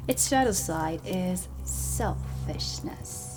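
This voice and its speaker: A woman playing a stern, dry dark witch teacher in a soft-spoken ASMR roleplay, deliberate and often slipping toward a whisper. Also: fairly low pitch, lively intonation, low-quality recording. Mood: calm, instructive. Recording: close voice under prominent ambient music. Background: ambient music.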